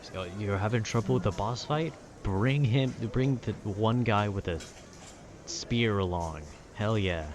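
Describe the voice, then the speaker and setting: A man's voice: on the low side, measured pace, a little mellow, a little hiss or hum. A man chatting casually in a laid-back, meandering, slangy way, as if rambling to a friend about video games. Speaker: audio roleplay creator.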